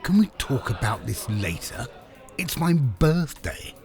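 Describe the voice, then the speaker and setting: Actor playing brusque, gravelly older village man. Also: mid-range voice, sing-song, a little slow, darkish tone, faint music behind. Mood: gruff. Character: brusque older English village man celebrating big birthday. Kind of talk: scripted audio drama dialogue.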